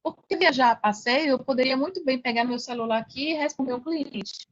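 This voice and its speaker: A woman's voice: sits high, a little fast. A Brazilian woman speaking casually as an interview guest, recounting her experience. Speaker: podcast guest, affiliate marketer. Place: online video call. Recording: dull, lo-fi call audio.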